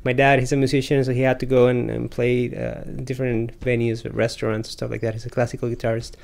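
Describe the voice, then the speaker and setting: A young man from Costa Rica chats as an interview guest. He is laid-back and hesitant, with a gravelly edge to his voice at a middle pitch. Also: level tone, fast talker, a little mellow. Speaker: guest artist. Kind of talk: casual interview chat.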